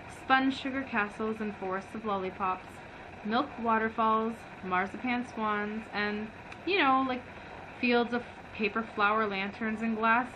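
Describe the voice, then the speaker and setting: A woman reading from a book expressively, as a book reviewer acting out the dialogue. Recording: noisy, rumbling background ambience.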